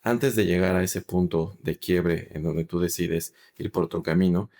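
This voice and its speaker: A man's voice: low voice, steady tone, moderate pace, warm tone. A man hosting a podcast, asking a guest long, thoughtful questions. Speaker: podcast host. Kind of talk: interviewing a guest.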